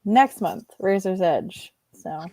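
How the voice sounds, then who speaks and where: Middle aged lady just chatting, soft mellow tone, really dry close recording. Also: medium pitch, lively intonation, slow, no echo. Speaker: participant in an online book discussion. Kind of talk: casual chat.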